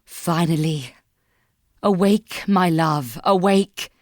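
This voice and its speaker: A woman's voice: fairly low pitch, takes it slowly. A woman voicing a desperate, commanding female gargoyle sorceress in dramatic audio fiction, with an imperious, imploring delivery. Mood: urgent, hopeful.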